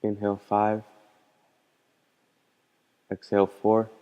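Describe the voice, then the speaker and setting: A man leading a guided meditation as a teacher, speaking in a calm, gentle, unhurried way with pauses. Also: lowish voice, clear, resonant tone, highs cut off. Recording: long hall-like reverb.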